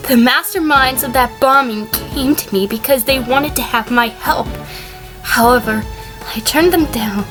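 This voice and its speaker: Woman acting high-voiced, defensive sheep defendant. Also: unhurried, music in the background. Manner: guarded, careful explaining. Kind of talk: fan audio drama acting, courtroom testimony.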